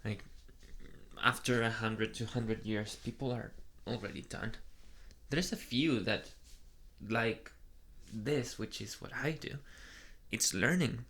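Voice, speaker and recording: A man voicing a soft, flustered young male vampire in an ASMR boyfriend roleplay, speaking hesitantly and earnestly in an intimate murmur. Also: medium pitch, slow, pauses often. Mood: earnest, proud.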